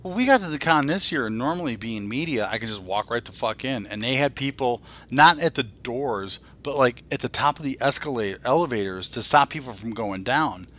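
A man's voice: medium pitch, lively intonation, fairly quick, few pauses, fairly bright tone. A man in his forties hosting a podcast, chatting casually about geek culture in a blunt, profane way. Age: 40s. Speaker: podcast host.

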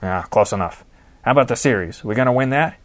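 A male narrator voicing a gruff, ornery older man with a folksy way of talking. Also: medium pitch, lively intonation, fast, lightly acted. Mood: blustering. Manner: gruff, loud, folksy banter.

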